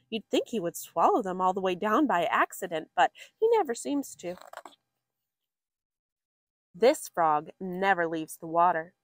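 A woman reading a children's nature picture book aloud with expressive, drawn-out emphasis for young listeners. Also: on the high side, no echo. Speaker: story reader for children.

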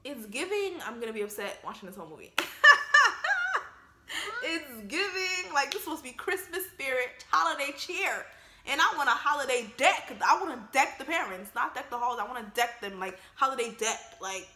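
A young woman chatting through a movie commentary in a high, lively voice that swings up and down a lot. Her tone is bright and bubbly, with plenty of laughter and slang. It is recorded on an earphone mic in a bare, echoey room. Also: normal speed. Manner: animated, laughing, slangy asides. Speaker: YouTuber reacting to a film. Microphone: wired earphone mic.

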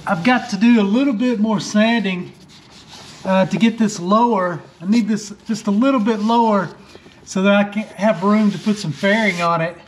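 A man with a high voice talks through his repair work on a boat deck in an easygoing, halting way, full of "uh"s and restarts. He is recorded outdoors on a first-person camera mic, with a faint hiss behind him. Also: poor audio. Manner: relaxed, plainspoken, frequent "uh" fillers and restarts. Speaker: boat refit vlogger. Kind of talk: casual how-to narration while working.

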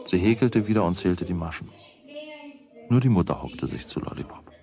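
A man narrating a children's radio play as a wry, deliberate storyteller with a husky voice. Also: low voice, dark tone. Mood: wry, measured. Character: wry storyteller of a boy's everyday troubles.

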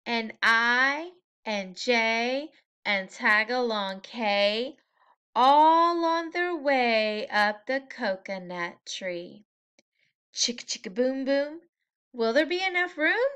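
A woman preschool teacher reading a picture book aloud to young children in a playful, expressive, sing-song manner. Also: on the high side, slow, smooth. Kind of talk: animated children's story read-aloud.

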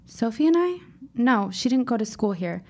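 A woman's voice: fairly high pitch, big pitch swings, moderate pace. An actress playing a precocious fifteen-year-old girl in an audio drama, speaking in formal, dramatic phrases. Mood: shaken, grave. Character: precocious, earnest fifteen-year-old girl writer, shaken but insistent.

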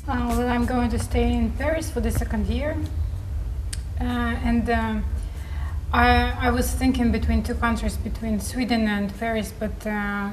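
A woman's voice: fairly high pitch, fairly even intonation, faint music behind, poor audio. Young woman, earnest testimonial. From Russia. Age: young adult. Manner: earnest, halting with fillers. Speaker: master's student. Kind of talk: testimonial interview.

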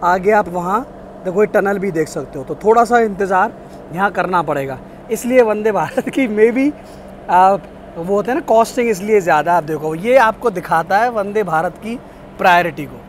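A young man chatting excitedly to viewers while filming himself on a train journey, recorded on a phone held at arm's length. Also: high voice, normal speed, slight background noise. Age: young adult. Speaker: train travel vlogger. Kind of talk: casual travel vlog. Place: train carriage and outdoor station platform.

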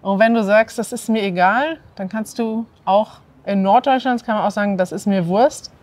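Woman, 30s, from northern Germany, standard German, language lesson host, clear, animated, outdoors. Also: medium pitch, normal speed. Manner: clear, animated, prompting and repeating words. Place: outdoors in a courtyard by an ivy-covered wall.

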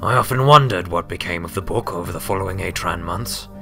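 A man's voice: deep voice, a little fast, gravelly, pushed and bright. A man reading a scripted story as an audiobook narrator. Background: music.

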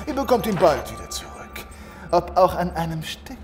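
Henchman for audio drama: brutish, mocking threats, high male voice, echoey space. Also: sing-song, moderate pace, over music. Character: brutish, sneering henchman of a gang boss.

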